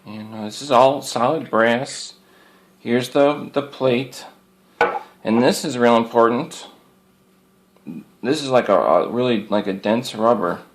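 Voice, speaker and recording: A man's voice: mid-range voice, takes it slowly, pauses often, slight background noise. A man giving a casual, rambling product show-and-tell in a folksy, plainspoken way, often repeating his phrases. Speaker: hobbyist presenter showing radio antenna gear.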